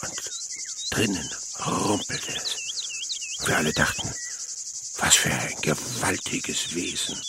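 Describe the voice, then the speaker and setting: Radio play father: dreamy naturalist, dramatic storyteller, very animated, creaky, over cricket noise. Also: deep voice, slow pace, long pauses, full character voice. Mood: earnest, awed. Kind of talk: German radio play acting.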